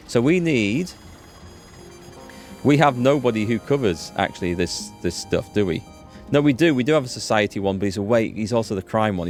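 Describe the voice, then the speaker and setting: A man giving casual let's play commentary as host, explaining things and thinking aloud as he goes. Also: mid-range voice. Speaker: gaming video host. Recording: music behind the voice. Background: music.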